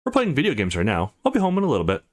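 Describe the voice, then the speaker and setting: A man's voice: medium pitch, huge pitch swings, rapid-fire, voice pushed up. A man voicing a laid-back but defiant teenage schoolboy with a casual, slangy manner in a visual novel.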